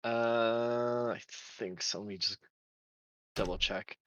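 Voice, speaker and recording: A woman's voice: rumbling low, fairly even intonation, slow pace, slight background noise. A woman chatting casually on a stream, giggling often and restarting her sentences, on a dull lo-fi mic. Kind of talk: casual chat.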